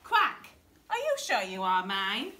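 A woman's voice: mid-range voice, wildly swooping pitch, takes it slowly, pushed and bright, echoey room, full character voice. A woman voicing a puzzled, doubtful mother duck who quacks, from a storybook. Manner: quacking, sceptical.

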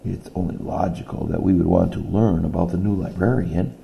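A man voicing a tiny, cheerful fairy-tale helper father who exclaims eagerly and loudly. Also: low-pitched, fairly even intonation, fairly quick, gravelly, highs cut off, slight background noise. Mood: excited.

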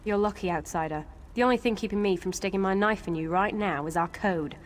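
A woman's voice: medium pitch, fairly quick, a little hiss or hum, natural voice. A woman playing a proud, hostile elf guard in a fantasy video game, curt and threatening.

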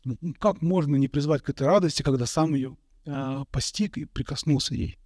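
A man in his thirties, an Orthodox monk from Kazakhstan, answering interview questions slowly and thoughtfully, with many pauses, into a studio mic. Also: on the high side, a little mellow, dry recording. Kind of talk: calm reflective interview answers. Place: bright indoor room, interview set. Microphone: studio mic on a boom in front of him.

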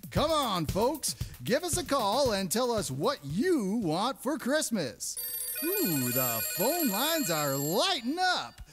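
Woman acting as male puppet DJ, lively swooping delivery, slow, showy, polite, comic radio patter. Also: fairly high pitch, music in the background, heavily acted. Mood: upbeat.

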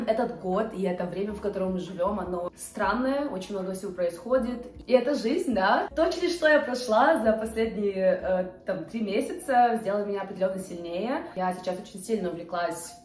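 A woman's voice: mid-range voice, lively intonation, fairly quick, a little mellow. A woman of twenty-eight talking casually to the camera from her living room couch, hesitant and full of fillers. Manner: chatty, hesitant with many fillers, gestures with hands. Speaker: vlogger. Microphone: camera a few feet away. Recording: roomy sound with faint background music.

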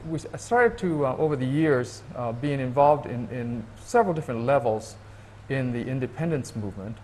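A man from Hawaii, a guest in an interview, speaking thoughtfully and haltingly with many restarts. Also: mid-range voice, lively intonation, a little mellow, slight background noise, rough recording. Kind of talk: reflective interview talk.

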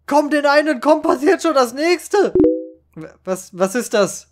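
A man narrates a comic first-person story about online gaming. His voice sits high for a man, and his wording is colloquial and full of slang. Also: expressive, a little slow. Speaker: storyteller and channel creator.

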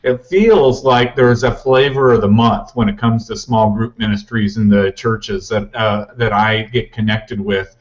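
A man in his forties hosting an interview from a home office over a video call, asking measured, plain questions. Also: on the low side, steady tone. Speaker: host. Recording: dull lo-fi webcam audio.

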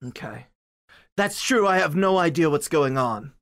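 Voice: medium pitch, animated, unhurried, fairly bright tone. A performer playing an earnest, clueless young hero in an improvised comic film dub, awkward and blurting. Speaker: improv fandub voice actor.

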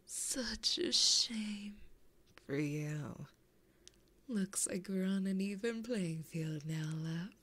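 Woman acting a slow, breathy whispering demon temptress. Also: mid-range voice, fairly bright tone, exaggerated voice. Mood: taunting, flirty. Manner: slow, teasing, intimate. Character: flirty, possessive, taunting female demon gambler. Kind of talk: whispered ASMR roleplay. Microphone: close binaural microphone.

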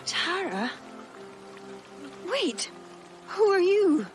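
An actress playing a brash, teasing young Irish warrior poetess in a game cutscene, with a wry, playful, theatrical manner. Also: female voice, very high-pitched, very animated, drawn-out words, soft background music, heavily acted.

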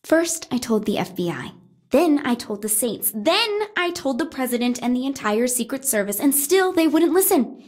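An actress playing an indignant, high-strung former agent, whining emphatically in a video game audio log. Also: female voice, very animated, moderate pace, a little mellow. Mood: exasperated. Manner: whiny, exasperated, emphatic.

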